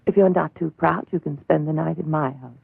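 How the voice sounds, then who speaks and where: Tinny old film sound carries a solitary old villager. His voice is heavily gravelly and a little high, and he speaks with slow, folksy courtesy. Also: dry recording, heavily acted. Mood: reserved, courteous. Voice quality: creaky, raspy. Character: lone old villager, last resident, courteous, plain-spoken. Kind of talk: dubbed vintage horror film acting.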